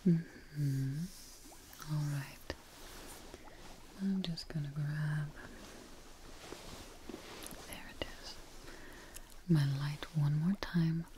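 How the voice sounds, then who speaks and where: A young adult woman whispering softly and reassuringly in an ASMR roleplay, recorded close on a studio mic in a small dark room. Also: sits low, background noise. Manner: soft whispering, gently reassuring. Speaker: ASMR creator.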